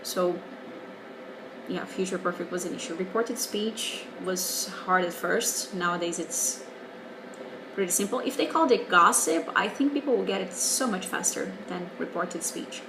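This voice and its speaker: A woman's voice: fairly low pitch, normal speed, noisy background. A woman in her twenties from Brazil, a native Portuguese speaker with a Brazilian accent, working as an English teacher and frankly explaining things in a casual video reply. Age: 20s. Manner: candid and wry, explaining patiently.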